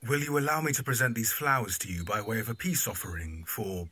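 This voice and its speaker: A man's voice: fairly low pitch, brisk, pushed and bright, breathy edge, dry recording. A man acting a courteous, bashful young music teacher in an audio drama, formal and hesitant, with birdsong behind. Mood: flustered.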